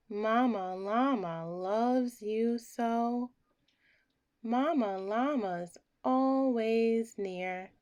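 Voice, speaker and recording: Slow, animated woman reading a storybook. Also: on the high side. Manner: theatrical, acts out emotions in the words. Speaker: children's storybook reader. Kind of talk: expressive bedtime read-aloud.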